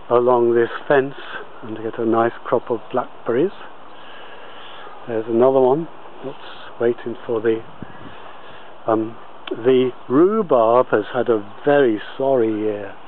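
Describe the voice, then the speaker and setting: A man giving an informal video tour commentary outdoors as a gardener, pausing often mid-sentence. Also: medium pitch. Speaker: allotment gardener filming a tour. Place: outdoors on an allotment. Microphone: handheld camera held by the speaker. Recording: muffled lo-fi camera audio, outdoor noise.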